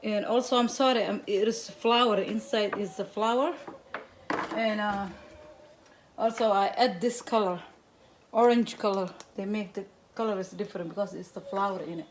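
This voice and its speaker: A woman with a Somali accent talks viewers through a home cooking demonstration in a casual, chatty way, her English halting and mixed with Somali. She stays at a middle pitch. Clear background noise runs behind her in the kitchen. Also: steady tone, low-quality recording. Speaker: cooking channel host.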